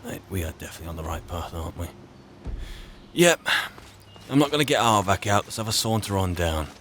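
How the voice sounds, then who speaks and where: A low-pitched voice of a man roleplaying a boastful, drink-loving archmage in a fantasy game. He talks in wry, colloquial asides, half to himself. Game ambience is clearly audible behind him. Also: lively intonation, fairly quick, background noise. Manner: sardonic, muttering asides, British colloquialisms. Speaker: roleplaying gamer narrating in character as an adventurer mage. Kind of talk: in-character game roleplay commentary.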